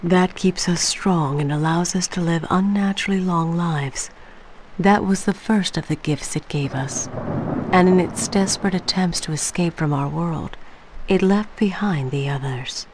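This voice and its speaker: A woman playing a scheming, megalomaniac century-old matriarch in a video game cutscene, speaking with slow, grandiose menace. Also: fairly low pitch, noisy background, close to an everyday voice. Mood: grim recounting.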